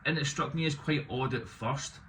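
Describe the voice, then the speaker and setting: A man in his thirties, loud and opinionated with a self-deprecating streak, talking casually to camera about his business. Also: mid-range voice, normal speed, a little hiss or hum. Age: 30s. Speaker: business owner vlogging.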